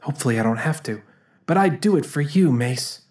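A man's voice: fairly high pitch, lively intonation, brisk. A man playing a guarded, driven female private eye, narrating introspectively in a scripted audio drama. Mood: determined, wistful. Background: quiet music.

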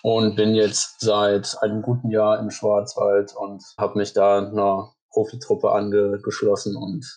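Flat-voiced Belgian biathlete guest. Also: male voice, fairly low pitch, unhurried, few pauses, poor audio. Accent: German-speaking East Belgium. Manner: relaxed, even delivery with frequent fillers. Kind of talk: interview answers.

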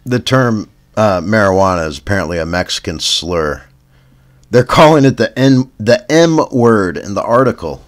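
A man with a Canadian accent hosting a spoof news podcast, reading headlines deadpan and drifting into rambling stoner asides that trail off with "man.". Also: on the low side, normal speed. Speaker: comedy news podcast host.

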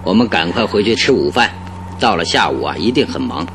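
An actor playing a hearty, triumphant detective in a theatrical Chinese radio drama style. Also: male voice, low voice, very animated, a little fast, fairly bright tone, slight background noise, heavily acted. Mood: confident, teasing. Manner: exclaiming, chuckling, theatrical. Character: triumphant, confident male detective, hearty and teasing. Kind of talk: old-time Chinese radio drama acting. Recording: radio drama with music underneath.

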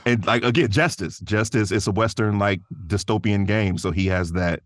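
A man's voice: on the low side, moderate pace, dry recording, studio quality. A man speaking informally as a guest voice actor in a casual interview, chuckling between phrases.

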